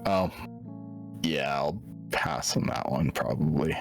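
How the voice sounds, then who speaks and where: A man from Canada with a very deep voice, answering questions in a laid-back, blunt way. His answers stay short and unbothered. Plucked guitar music plays clearly behind him. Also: slow. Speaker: guest. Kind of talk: casual streamed chat.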